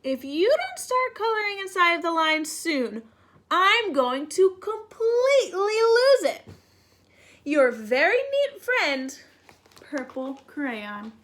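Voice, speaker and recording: A woman puts on a neat-freak purple crayon from a storybook, high and very lively in pitch. It is indignant and exasperated, leaning hard on its words. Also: takes it slowly, full character voice. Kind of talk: children's picture book read-aloud.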